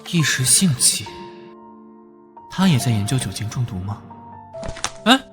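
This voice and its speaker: An actor playing a protective, easily flustered young policeman in an animated drama, expressive and exclaiming, with a teasing big-brother manner. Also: medium pitch, a little slow, over music. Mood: casual, curious.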